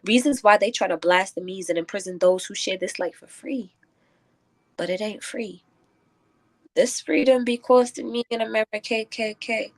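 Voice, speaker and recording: A woman's voice: mid-range voice, normal speed, poor audio. A woman guest who is a spoken word poet, moving between casual talk and rhythmic, declamatory verse. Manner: declamatory, rhyming delivery when reciting.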